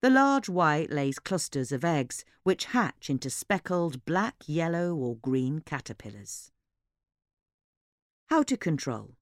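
A woman's voice: deep voice, very animated, slow, dry recording, clean studio sound. A woman reading a scripted gardening-magazine style article as an informational narrator.

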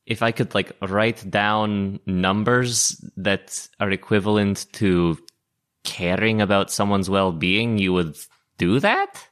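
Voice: deep voice, takes it slowly. A tabletop player improvising casual in-character chat, with a teasing, questioning manner.

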